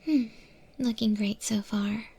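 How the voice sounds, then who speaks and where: A breathy, whispering eldritch monster woman, acted by a woman teaches cooking in an ASMR roleplay. Her delivery is very slow and even, with a faint creak in it. She is patient and gently instructive, like a senior cook with a trainee. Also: on the high side, exaggerated voice. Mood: calm, musing.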